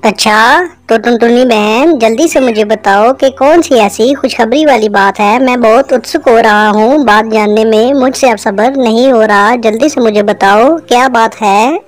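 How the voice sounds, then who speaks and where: A woman's voice: a little high, normal speed, few pauses, lightly acted. A woman voicing an eager, friendly male forest animal in a cartoon moral story, enthusiastic in manner. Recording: music under the voice.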